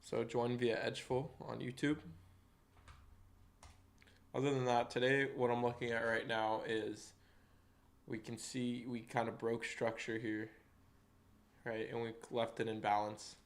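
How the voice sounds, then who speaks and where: A man live-streaming day-trading commentary, hoarse and losing his voice, who chats with viewers and walks through charts in a casual way. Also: medium pitch, little pitch movement, measured pace, long pauses. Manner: chatty, greets chat viewers by name.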